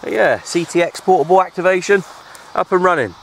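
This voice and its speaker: A man with a British accent chatting casually and informally to viewers in an unhurried, easygoing way, like an outdoor hobby vlogger. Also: lively intonation. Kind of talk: casual outdoor vlog chat. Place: outdoors at a windy makeshift shelter. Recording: noisy outdoor recording with ambient rumble.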